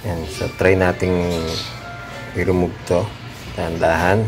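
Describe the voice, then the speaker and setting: A Filipino man giving a casual hands-on tutorial, thinking aloud in a halting way as he works. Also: low voice, monotone, music in the background. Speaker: DIY repair vlogger.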